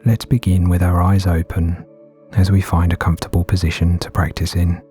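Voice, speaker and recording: A man gently guiding a meditation in a soothing, unhurried way with long pauses between phrases. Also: sits low, little pitch movement. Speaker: meditation guide. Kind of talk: guided meditation narration. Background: background music.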